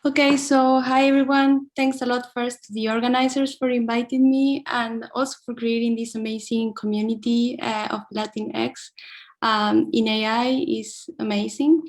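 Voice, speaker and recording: A woman's voice: a little high, level tone, moderate pace. A young woman from Argentina giving an academic keynote talk, careful and thoughtful, with frequent fillers and restarts. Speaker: invited keynote speaker.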